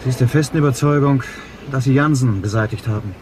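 An actor playing a dogged, old-fashioned police detective in a crime radio drama, dry, blunt and sarcastic. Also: male voice, mid-range voice, fast talker, natural voice. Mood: grim, resolute. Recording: clear background vehicle and street noise.

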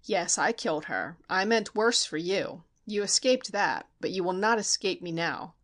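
A woman's voice: fairly low pitch, animated, moderate pace, close to an everyday voice. A woman voicing a heavy, cold, menacing older foreign man who speaks in clipped threats.

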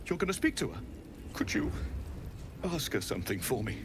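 An actor playing a grieving young husband who asks for help. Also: male voice, medium pitch, big pitch swings, moderate pace, noisy background. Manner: grieving, pleading.

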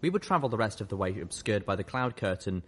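A man with a low voice narrates a dramatic story in scripted audiobook style. A faint rumble of noise sits beneath the reading. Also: sing-song, quick pace. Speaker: audiobook reader.

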